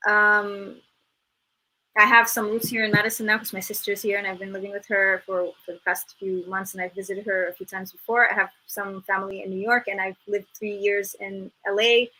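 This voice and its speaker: A bright, clear-toned woman in her thirties, originally from Egypt, speaking as an interview guest. She talks in a loose, reflective way, restarting sentences and leaning on "like" as she thinks aloud. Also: medium pitch, little pitch movement, brisk, low-quality recording. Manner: reflective, many restarts and "like" fillers. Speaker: guest filmmaker. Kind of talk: casual interview talk.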